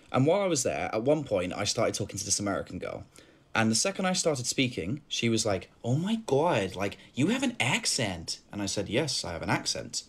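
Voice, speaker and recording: A woman from the UK with a British accent telling a story casually, in an animated, incredulous way. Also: extremely low pitch, husky. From United Kingdom.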